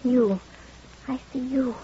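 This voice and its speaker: A woman's voice: sits high, slow pace, dark tone. An actress playing a grieving, lovelorn and suggestible woman in old-time radio melodrama, dreamy and theatrical, on a dull, noisy vintage recording. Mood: dazed, obedient.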